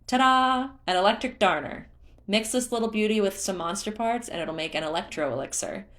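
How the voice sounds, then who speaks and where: A woman's voice: medium pitch, brisk, fairly bright tone, pitched above the performer's own voice, natural voice. A woman voicing a cheerful, chatty male travelling merchant with upbeat sales patter in a story reading.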